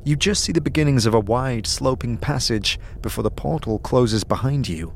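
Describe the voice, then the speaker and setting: A man narrating a scripted fantasy audio drama in a measured, dramatic storytelling manner. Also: medium pitch, lively intonation, gravelly, a little hiss or hum.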